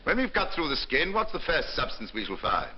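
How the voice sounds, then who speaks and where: A man's voice: fairly high pitch, huge pitch swings, brisk, a little hiss or hum. An actor playing a pompous, bullying senior male surgeon who barks orders at junior doctors. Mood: lecturing. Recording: dull old film soundtrack with faint music.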